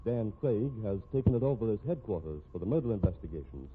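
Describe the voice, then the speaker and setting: A man's voice: fairly low pitch, very animated, quick pace, phone-quality sound. A man announcing a 1950s radio mystery in scripted narration, theatrical and emphatic. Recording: thin, noisy old radio recording.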